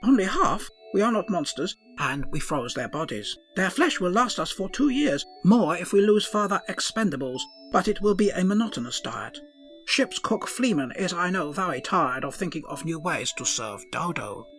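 A man's voice: high voice, big pitch swings, normal speed, fairly bright tone, soft background music, exaggerated voice. A man playing an eccentric, pompous starship doctor with an odd made-up blended accent, long-winded and florid. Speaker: ship's doctor.

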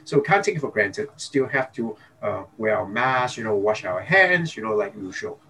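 This is a man from Hong Kong, speaking as a dentist guest in a relaxed interview. He talks in a friendly, rambling way and keeps checking in with the listener. A faint background noise sits under his voice. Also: lowish voice, normal speed. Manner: chatty, peppers speech with "okay?" and "you know". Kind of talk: casual interview talk.

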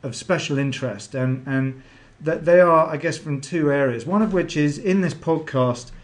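A man's voice: on the high side, normal speed. A middle-aged man hosting a podcast, chatty and rambling, with a lot of ums. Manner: chatty, rambling with many fillers. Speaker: podcast host. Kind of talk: podcast interview.

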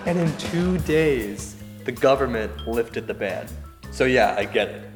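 A man's voice: mid-range voice, animated, measured pace, close to an everyday voice. A wry, self-mocking stand-up comedian played by a man in an audio drama. His mid-range voice is conversational, ringing with long reverb over faint music. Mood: wry, amused. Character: wry, self-deprecating Indian-American male stand-up comedian.

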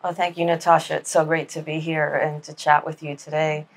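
A woman from Miami, a writer appearing as a podcast guest, speaking candidly and reflectively with soft fillers. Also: low voice, little pitch movement, brisk. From Miami, United States. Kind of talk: candid conversational interview.

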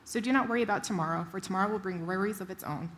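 Fast-paced woman reading scripture. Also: mid-range voice, a bit bright, a little hiss or hum, low-quality recording. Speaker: volunteer scripture reader.

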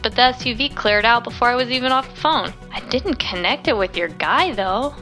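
A young diner waitress in an audio drama. She is friendly and chatty, with a high, very bright voice and an informal, chirpy way of talking, over a music bed. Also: brisk. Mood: chatty recounting. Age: young adult.

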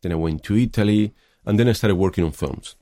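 A man's voice: fairly low pitch, brisk, mellow, dry recording, studio quality. A middle-aged man from Spain with a Spanish accent, answering interview questions thoughtfully, with many hesitations and fillers. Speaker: film director.

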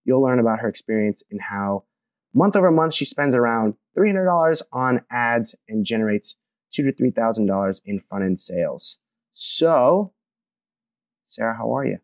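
A man's voice: medium pitch, animated, normal speed, no echo. A man hosting a marketing podcast, welcoming listeners and interviewing a guest in an upbeat manner. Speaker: podcast host.

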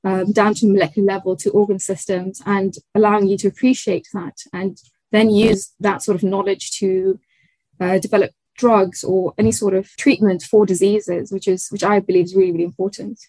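A young woman from Tanzania, a research fellow, answering interview questions in a thoughtful way, often pausing with fillers and restarting sentences. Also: medium pitch, level tone, darkish tone, dry recording, rough recording. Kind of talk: conversational interview.

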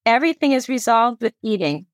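A woman's voice: fairly high pitch, sing-song, brisk, dry recording, studio quality. A woman from the United States hosting a podcast in a casual, curious and reflective conversational way. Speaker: podcast host.

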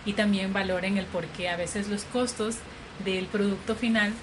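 A woman from Ecuador telling her story as an interview guest, in a slow, relaxed way. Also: medium pitch. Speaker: interview guest, coffee farmer. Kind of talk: conversational interview. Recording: noisy background ambience.

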